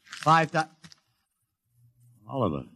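A man's voice: a little high, big pitch swings, slow, gravelly, sharp tone, slight background noise, exaggerated voice. A man playing a brash, slangy, money-hungry publicity agent with glib sales patter in a classic radio drama. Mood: eager, ingratiating.

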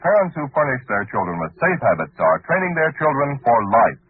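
A man's voice: mid-range voice, sing-song, brisk, slightly rough. A man announcing in an emphatic, dramatic, scripted 1940s radio style. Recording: muffled old radio broadcast.

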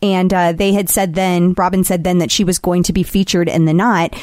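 A woman's voice: lowish voice, little pitch movement, fairly quick, darkish tone, no room sound, clean studio sound. A woman co-hosting a podcast in casual, giggly chat, with a sinus infection. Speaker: podcast co-host.

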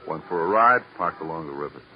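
Brief: nervy, defensive waiter, very low voice, slangy, muffled vintage radio recording. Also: male voice, lively intonation, fast, a little hiss or hum. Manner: insistent, repeats himself, slangy. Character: defensive, jittery waiter under police questioning. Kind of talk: 1950s radio crime drama acting.

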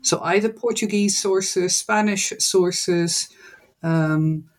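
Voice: mid-range voice, takes it slowly. A speaker from the Netherlands, a guest professor answering interview questions in a thoughtful, hesitant way with many fillers.

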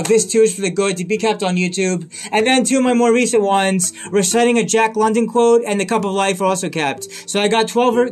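A man giving a rambling solo update on his podcast and videos, often repeating and restarting his phrases. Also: high-pitched. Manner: repetitive, restarts phrases, earnest.

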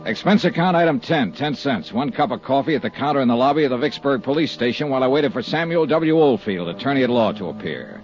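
A male actor playing a tough private eye in a 1950s radio serial. He is clipped and businesslike, with a middle-pitched voice. The old broadcast sounds dull, with clear music in the recording. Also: lively intonation, fast, hardly any pauses, darkish tone, natural voice. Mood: brisk, matter-of-fact. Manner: clipped, hard-boiled. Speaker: lead actor and narrator. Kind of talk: 1950s radio drama acting and first-person narration.

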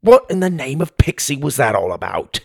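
A man voicing a gruff fairy father who mutters and grumbles. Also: very animated, normal speed, no room sound, clean studio sound, exaggerated voice. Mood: annoyed. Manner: muttering, low.

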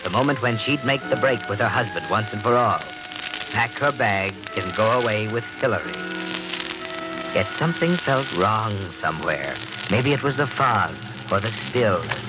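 An actor playing an ominous, all-knowing male narrator in an old-time radio crime drama, dramatic and measured. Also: on the low side, lively intonation, muffled recording, lightly acted. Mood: suspenseful. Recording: vintage radio recording with music behind.

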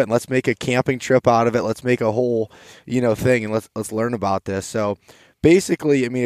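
A man's voice: lowish voice, dry recording, clean studio sound. A man in his twenties hosting a casual, enthusiastic, informal chat outdoors. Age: 20s. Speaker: podcast host. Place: outdoors by a campfire.

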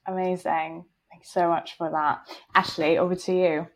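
A woman co-hosts an interview podcast, her slightly low voice carrying friendly enthusiasm as she leads into each question. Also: flat delivery, normal speed. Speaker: podcast co-host.

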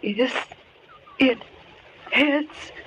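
A woman's voice: sits high, very slow, a bit bright, slight background noise, exaggerated voice. An actress playing a terrified young captive woman, pleading in a plaintive, melodramatic style of old-time radio horror. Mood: anxious. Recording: muffled vintage radio broadcast recording.

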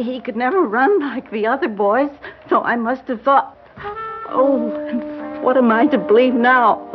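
On a dull, hissy old radio drama, a grieving elderly grandmother. Her high voice quavers and breaks as she speaks slowly and haltingly, bewildered and refusing to believe. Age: older. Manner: halting, repeating words in distress.

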